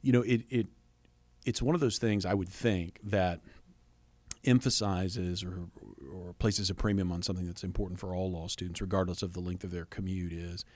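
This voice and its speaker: A middle-aged man hosts the conversation with friendly jokes, often restarting his sentences. His voice sits a bit low, and the close, dry recording has no room echo. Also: fairly even intonation, moderate pace, a little mellow. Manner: friendly, joking, rambling restarts. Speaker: law school dean, podcast host. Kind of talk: conversational interview hosting.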